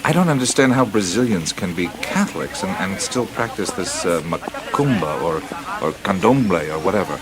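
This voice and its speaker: An actor playing a wry, bantering American adventurer in a naturalistic radio drama, musing and wisecracking. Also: male voice, deep voice, animated, normal speed, a crowd in the background. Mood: curious, hesitant. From United States. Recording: radio play with background ambience.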